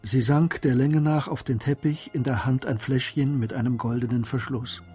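A man narrating a radio drama as a sombre, measured storyteller. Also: mid-range voice, fairly even intonation, mellow, slightly rough, faint music behind, lightly acted.

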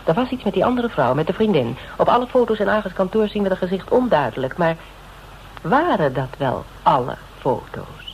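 A woman's voice: fairly low pitch, sing-song, moderate pace. An actress playing a shrewd, mocking female interrogator in a radio drama, with a needling, conversational manner. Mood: accusing, probing. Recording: noisy radio drama recording.

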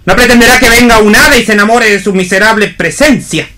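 An Argentine park guard in radio theatre. He is scolding and declamatory, with a high, forceful, bright voice. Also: fast.

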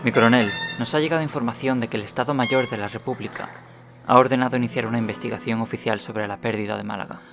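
An actor playing an obedient military subordinate in an audio drama, reporting in a clipped, deferential way. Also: male voice, mid-range voice, steady tone, quick pace, music in the background, natural voice. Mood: dutiful.

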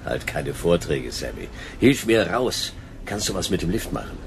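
An actor playing a dry, hardboiled private detective, laconic and deadpan, in a noir radio play. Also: male voice, sits low, moderate pace, noisy background. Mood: laconic, brisk. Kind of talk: first-person noir radio play narration and dialogue.

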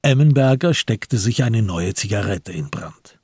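A man narrates a literary radio drama in a low, very husky voice. The recording is close and dry, and the delivery is scripted storytelling. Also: lively intonation, measured pace, a little mellow, dry recording.

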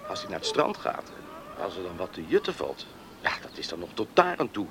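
An actor playing an earnest, blunt grown farm son in a Dutch radio play. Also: male voice, low voice, wildly swooping pitch, brisk, a bit bright, heavily acted. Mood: indignant. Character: plain-spoken, dutiful grown son on a farm. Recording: noisy old radio play recording.

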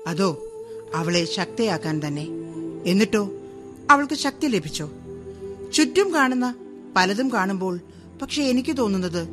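An actress in a radio drama monologue, playing a middle-aged Malayali wife and mother from Kerala who is chatty, wry and outspoken about women's rights, talking straight to the listener in a brisk, ironic way. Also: mid-range voice, natural voice. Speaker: monologue narrator.

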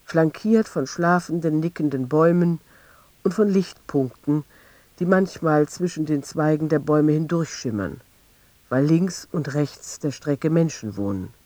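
A man reading scripted literary narration in a radio drama, measured and precise. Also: on the high side, a little mellow, no room sound.

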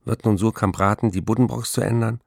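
An actor playing a driven, exacting novelist in a radio play, speaking precisely and emphatically. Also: male voice, on the low side, steady tone, fairly quick, gravelly, a little mellow, dry recording, studio quality. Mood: indignant. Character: driven, exacting male novelist, defiant in his letters.

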